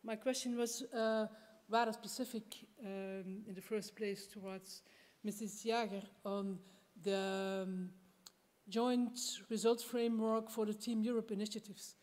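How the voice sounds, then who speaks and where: A woman's voice: mid-range voice, flat delivery, unhurried, a little mellow. A woman, asking a question at a conference lectern microphone. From Belgium. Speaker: audience questioner. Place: large conference hall, at lectern. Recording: echoing hall amplification.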